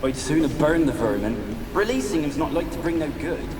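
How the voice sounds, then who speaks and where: A man's voice: fairly high pitch, animated, moderate pace, noisy background, lightly acted. An actor playing a bitter, vengeful peasant man in a video game, crude and callous with rustic phrasing. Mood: scornful. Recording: roomy, distant, under loud music.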